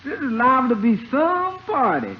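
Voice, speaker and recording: An actress playing a sassy, skeptical housekeeper in a 1950s radio comedy, folksy, teasing and emphatic. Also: female voice, high voice, huge pitch swings, moderate pace. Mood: teasing warning. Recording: muffled 1950s radio, faint noise.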